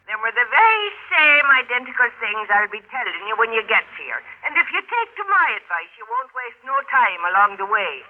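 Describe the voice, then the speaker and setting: An actress playing a feisty, blarneying older Irish housekeeper in a theatrical, folksy 1950s radio drama style, quick to take offence. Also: female voice, on the high side, sing-song. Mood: brisk, insistent. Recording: tinny, band-limited old radio recording.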